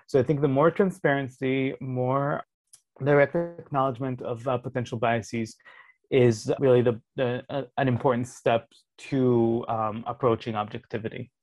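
Man, measured academic explainer. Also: medium pitch, fairly even intonation, a little mellow, no echo. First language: Hebrew. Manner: measured, explanatory, thinks aloud. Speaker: sociologist guest. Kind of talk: academic interview answer.

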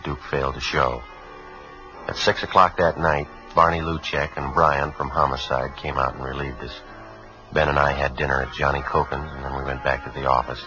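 An actor playing a terse, businesslike police sergeant who narrates his cases in a clipped, deadpan way, in 1950s radio drama style. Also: a bass voice, normal speed, rough recording. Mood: deadpan, factual. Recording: vintage radio broadcast recording with music.

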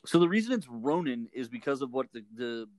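A man from Texas in casual chat as a podcast co-host, joking and quick to correct the other speaker. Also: mid-range voice, sing-song, darkish tone, dry recording.

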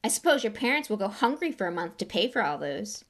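A woman's voice: mid-range voice, big pitch swings, quick pace, a bit bright. A woman voicing a spiteful schoolboy wizard, with a drawling, sneering delivery.

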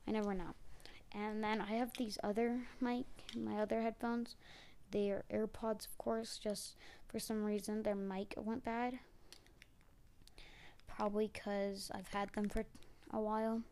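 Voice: mid-range voice, mellow. A youngster who sounds like a child or young teen, rambling casually and a bit hesitantly as host of an amateur solo podcast. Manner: hesitant, self-deprecating. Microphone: amateur mic, trying blanket as sound booth.

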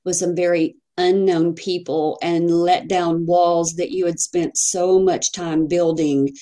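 A woman with a Texan accent speaks slowly and hesitantly, pausing often as a podcast guest. Her voice sits a little low and sounds mellow and warm. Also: little pitch movement. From Texas. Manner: soft-spoken, hesitant, many pauses and fillers. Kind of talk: personal testimony in a podcast interview.

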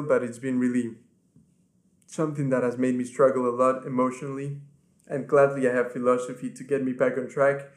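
A man in his twenties from Ecuador, a native Spanish speaker, hosting his podcast and talking earnestly without a script, softly and with many restarts. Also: medium pitch. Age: 20s. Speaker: podcast host. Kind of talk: unscripted personal talk to camera. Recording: echoey room.

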